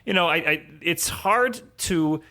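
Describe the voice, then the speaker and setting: A man's voice: a little high, animated, slow, sharp tone. A man speaking as a podcast guest, giving conversational commentary in an explanatory way.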